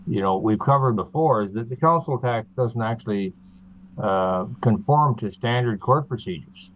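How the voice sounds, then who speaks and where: Man on a tinny remote call line. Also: mid-range voice. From Canada. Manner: steady, explaining, many uh fillers. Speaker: interview guest. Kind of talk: explanatory interview talk.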